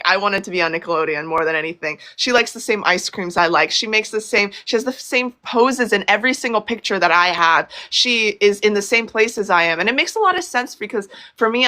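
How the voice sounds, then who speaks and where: Young woman guest, Brazilian-born, bright lowish voice, gushing and rambling. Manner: chatty, effusive, many fillers and restarts. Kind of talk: casual interview chat.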